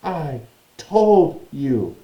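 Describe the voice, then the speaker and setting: A man's voice: mid-range voice, very animated, fairly quick, warm tone, echoey room, muffled recording, lightly acted. A man voicing a bossy, exasperated young schoolgirl, insistent and snappish, in a children's storybook reading. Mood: breathless scolding. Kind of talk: children's storybook read-aloud.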